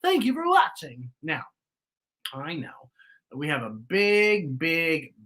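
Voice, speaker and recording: A woman's voice: sits low, wildly swooping pitch, takes it slowly, fairly bright tone. A woman hosting a children's story time in a playful, theatrical, sing-song manner. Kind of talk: children's read-aloud show presenting.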